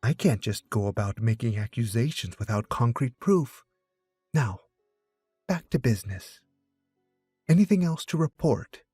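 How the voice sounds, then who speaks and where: A man voicing a bossy, sharp-tongued teenage girl detective with a businesslike manner in an audiobook. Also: mid-range voice, animated, a little slow, long pauses, breathy edge, dry recording, studio quality, faint music behind. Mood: brisk, commanding. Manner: commanding, businesslike. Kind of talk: audiobook character dialogue.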